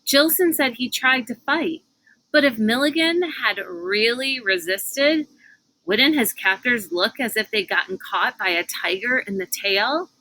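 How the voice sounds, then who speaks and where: A woman narrates a storybook aloud in an expressive style. Her voice is bright and medium in pitch, and she reads slowly, with deliberate, dramatic phrasing. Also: rough recording.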